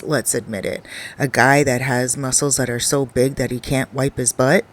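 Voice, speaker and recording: A narrator reading a first-person confession as a shy, skinny young man who talks about his loneliness in a rambling, pausing way. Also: mid-range voice.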